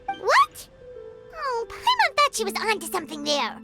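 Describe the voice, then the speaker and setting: A woman's voice: very high-pitched, wildly swooping pitch, a little slow, vocal fry, over loud music, full character voice. A woman voicing a small, childlike fairy companion in an anime game, cheeky and exclamatory. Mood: surprised. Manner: refers to self in third person, exclamatory.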